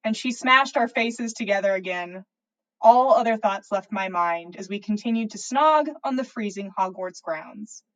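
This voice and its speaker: A woman reading fan fiction aloud as a podcast host, in an expressive storytelling style. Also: mid-range voice, normal speed, poor audio.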